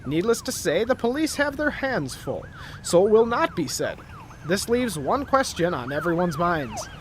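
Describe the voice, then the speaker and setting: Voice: medium pitch, big pitch swings, normal speed, a little hiss or hum, lightly acted. A performer playing a bombastic, mock-serious narrator of a tongue-in-cheek superhero radio serial. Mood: mock-grave.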